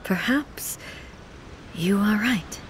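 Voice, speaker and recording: A composed, regal ruler. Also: female voice, mid-range voice, animated, extremely slow, noisy background. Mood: calm, reassuring. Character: composed, regal female ruler, restrained and kindly. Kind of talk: scripted video game dialogue.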